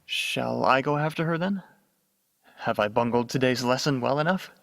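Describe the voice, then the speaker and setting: A man's voice: medium pitch, moderate pace, creaky, a bit bright, dry recording, studio quality. A man acting an earnest, good-humoured young pony student in a radio drama, polite and self-deprecating. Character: young male pony student mage, earnest, humorous, kind.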